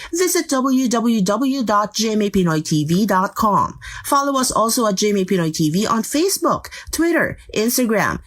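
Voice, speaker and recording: A woman's voice: fairly high pitch, a bit bright. A woman with a Filipino accent reading a scripted broadcast promo as a network announcer.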